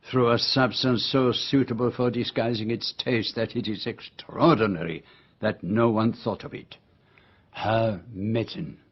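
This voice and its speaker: A methodical detective in a radio mystery, patient and theatrical. His voice is slightly husky, and he lays out his case deliberately with dramatic emphasis. The recording is a little dull and muffled. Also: lowish voice. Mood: explaining.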